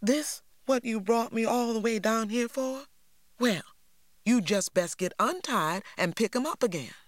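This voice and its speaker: A reader voicing a proud, bossy teenage girl, talking down in a prim, high voice. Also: a little slow, dry recording, clean studio sound, close to an everyday voice. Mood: haughty.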